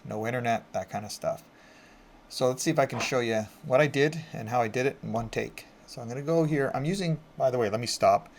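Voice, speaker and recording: A man's voice: medium pitch, darkish tone, slight background noise. Casual man walking through a tech tutorial. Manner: informal, conversational, explaining step by step. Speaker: IT instructor and presenter. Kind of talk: casual screen-recorded tutorial narration.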